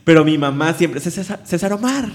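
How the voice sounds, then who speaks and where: A young man from Veracruz with a Mexican Spanish accent, chatting as an interview guest, friendly and quick to laugh. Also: fairly high pitch, lively intonation. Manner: friendly, laughs often, many fillers. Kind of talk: casual interview conversation.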